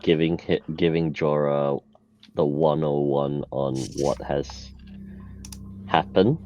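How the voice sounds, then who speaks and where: A man's voice: extremely low pitch, fairly even intonation, slow, warm tone, dull audio, slight background noise. A man talking casually as a tabletop game player, hesitant with many fillers and restarts.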